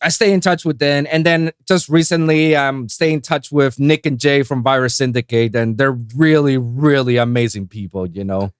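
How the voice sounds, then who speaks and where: A man's voice: medium pitch, normal speed, few pauses, clean tone, dry recording, studio quality. A man from Puerto Rico, a native Spanish speaker with a Spanish accent, hosting a podcast and telling stories animatedly with lots of restarts. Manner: animated storyteller with frequent restarts. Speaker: podcast host. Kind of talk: casual chat.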